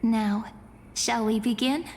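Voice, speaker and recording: A woman voicing a gentle, dreamy young fortune-teller in a video game, soft and lyrical. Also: on the high side, fairly even intonation, slow pace, a little hiss or hum. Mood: mystical, serene. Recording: long reverb, music underneath.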